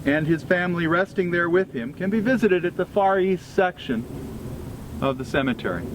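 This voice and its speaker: A man reads a formal scripted historical tribute at an outdoor commemoration, delivering it phrase by phrase in a measured way. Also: a little high. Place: outdoors at a cemetery gathering. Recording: outdoor recording with clear ambient vehicle noise.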